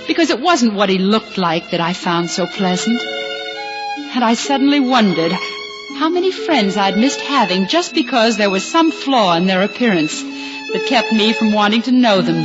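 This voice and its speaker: A muffled vintage radio recording, with music around, carries an actress as an anxious young American woman, a patient who has lost her sight. She speaks hesitantly and earnestly in the manner of a 1950s radio play, at a medium pitch. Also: close to an everyday voice. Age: young adult. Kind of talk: 1950s radio drama acting and first-person narration.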